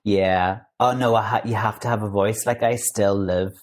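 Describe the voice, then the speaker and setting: A man's voice: fairly even intonation, normal speed. A Scottish man co-hosting a podcast, chatting casually in a playful, theatrical way. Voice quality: baritone singing voice. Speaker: podcast co-host. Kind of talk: casual chat.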